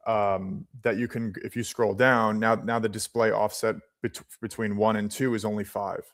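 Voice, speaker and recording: A man in his late twenties with a fairly low voice, co-hosting a live trading stream. He explains things patiently, one step at a time, and often restarts his sentences as he talks. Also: flat delivery, moderate pace. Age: late 20s.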